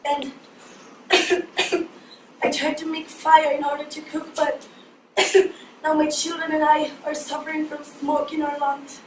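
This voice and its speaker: A pleading, desperate mother of eight from a poor village, played by a woman who has lost her voice. Her voice is hoarse and high, with coughs breaking in. It sounds distant and echoey, with steady noise behind it. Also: slow pace.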